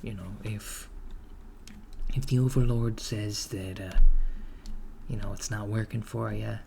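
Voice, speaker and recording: Slow, gravelly, soft-spoken man playing a villainous recruiter. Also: fairly low pitch, slight background noise. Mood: deadpan, casual. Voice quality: soft, breathy, often near a whisper. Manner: hesitant, deadpan, reassuring office politeness. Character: calm, matter-of-fact villain's henchman recruiter, male, mildly awkward. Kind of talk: ASMR roleplay, soft-spoken job interview. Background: computer keyboard typing.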